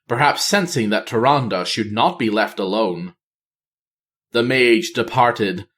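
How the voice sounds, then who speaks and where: A man reading a fantasy novel aloud as scripted narration, in a measured, theatrical manner. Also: mid-range voice. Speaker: audiobook reader.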